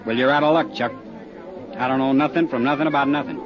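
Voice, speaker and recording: An actor playing a cagey, wisecracking small-town roadhouse owner who talks in hipster slang, in 1950s radio detective drama style. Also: male voice, mid-range voice, fairly even intonation, fast, over music, crowd noise, natural voice. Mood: wary, evasive. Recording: dull vintage 1950s radio recording.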